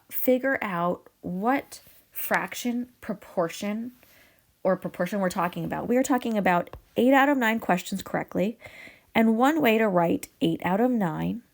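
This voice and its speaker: A woman teacher explaining a math problem step by step, her voice raspy from a cold. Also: mid-range voice, a little mellow. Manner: upbeat, patient explaining with fillers. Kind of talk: video math lesson.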